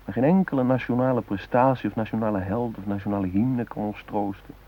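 A man acting an earnest, guilt-ridden humanist in a radio play, musing in deliberate, introspective phrases. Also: lowish voice, narrow, tinny audio, natural voice. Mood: reflective, tender. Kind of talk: radio drama monologue. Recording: hissy old radio play recording.

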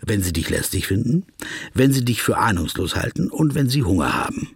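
Brooding, pedantic low-voiced male lawyer. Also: sing-song, brisk, husky, heavily acted. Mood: brooding, self-critical. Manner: fussy, digressive, dryly ironic. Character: pedantic, brooding, awkward corporate lawyer who dwells on words. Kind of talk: German radio drama, dialogue and inner monologue.

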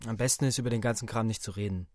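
An actor playing a clever young soldier in a radio play, argumentative and condescending, posing questions. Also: male voice, fairly low pitch, steady tone, rapid-fire, a little mellow, no echo, natural voice. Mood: dismissive.

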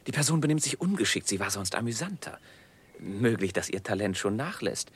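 Radio play role: deferential smooth-talking male courtier, very low husky voice, formal theatrical delivery. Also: sing-song, brisk, full character voice. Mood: flustered, apologetic. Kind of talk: German radio play, theatrical stage diction.

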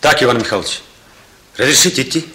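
Intense young policeman played by a man, sharp-voiced. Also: mid-range voice, close to an everyday voice. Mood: subdued. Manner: intense, halting, emotional. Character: earnest, indignant young male police operative. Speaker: actor. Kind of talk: Soviet radio drama acting.

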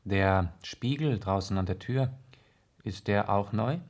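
An actor playing a courteous, inquisitive gentleman detective in a radio play, polite and measured. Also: lowish voice. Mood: casually inquisitive. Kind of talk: 1970s German radio play acting.

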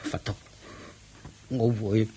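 An actor playing a devoted, grieving monk disciple in a radio drama, reverent and earnest, with husky Hong Kong Cantonese speech. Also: male voice, on the low side, fairly even intonation, slow pace, mellow, full character voice, rough recording. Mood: sorrowful. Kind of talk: Buddhist radio drama acting.

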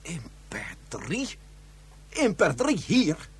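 A high, swooping male voice playing a flustered space traveller in a science fiction radio play. He is formal but halting and astonished, with a rough edge and music in the recording. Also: huge pitch swings, full character voice. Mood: shocked, indignant.

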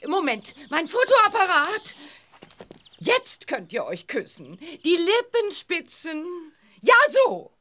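A woman playing a gushing, pushy newspaper reporter in a children's audio drama, effusive and theatrical with a husky voice. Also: very high voice, wildly swooping pitch, takes it slowly, bright, forceful tone, heavily acted. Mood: bossy, chirpy. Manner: effusive, theatrical, drawling exclamations.

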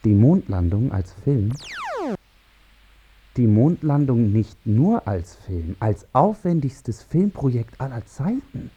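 A man's voice: mid-range voice, very animated, takes it slowly, dark tone, highs cut off, a little hiss or hum. An actor playing a man who expounds in emphatic declarations in a scripted radio drama. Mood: declarative. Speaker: radio play speaker. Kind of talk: scripted German radio drama, essayistic narration.

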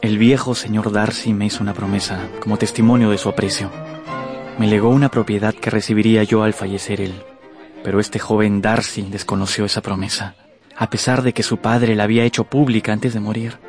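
A man's voice: lowish voice, flat delivery, fairly quick, over music, natural voice. A man playing a charming young officer, courteous and aggrieved, in a radio drama. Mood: aggrieved, confiding.

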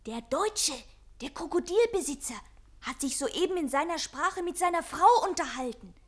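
An actress in a radio play voicing an anxious, devoted young daughter with an urgent, secretive manner. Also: female voice, very high voice, lively intonation, moderate pace. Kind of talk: theatrical radio play acting.

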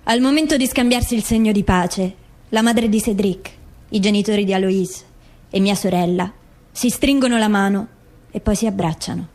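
A woman's voice: mid-range voice, moderate pace, a little mellow, lightly acted. A woman playing a murdered wife who narrates and questions her own case in formal documentary theatre. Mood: grave, factual.

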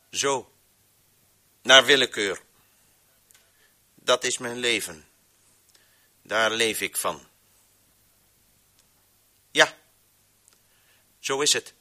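An actor playing a defiant, embittered man who controls the voices in a radio play, speaking in an emphatic, measured monologue. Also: medium pitch, sharp tone. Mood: proclaiming. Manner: emphatic, measured, swinging between proclamation and muttering.

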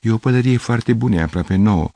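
A man voicing a flustered, tired middle-aged musician who pleads and stammers anxiously, his voice rising high in agitation. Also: gravelly, warm tone, dry recording.

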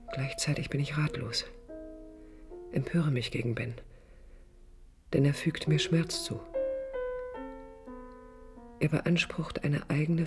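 Introspective young woman in love, wistful and jealous, intimate radio drama delivery, hushed, with music behind. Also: deep voice. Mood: pained brooding. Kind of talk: 1980s radio play, intimate first-person narration.

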